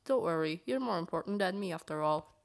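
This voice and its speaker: A woman voicing gentle, caring, shyly romantic young characters in a comic dub, earnest and halting. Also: on the low side, expressive, gravelly, voice pushed down. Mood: caring, apologetic.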